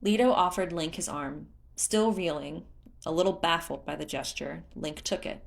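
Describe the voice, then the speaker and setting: Woman narrating a story, lowish voice. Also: moderate pace, vocal fry. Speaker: fan fiction narrator. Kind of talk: expressive scripted narration.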